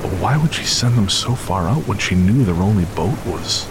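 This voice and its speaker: Deep-voiced grave male narrator. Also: lively intonation, normal speed, noisy background. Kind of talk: scripted narration. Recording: loud music bed under voice.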